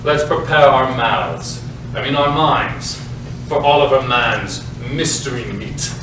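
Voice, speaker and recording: A man's voice: medium pitch, slow pace, close to an everyday voice. A man playing a pompous, defensive playwright who addresses the audience in a comic theatrical monologue. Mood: grandly ominous. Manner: pompous, self-important. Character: pompous, defensive playwright presenting his lurid works. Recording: very echoey noisy hall, far off.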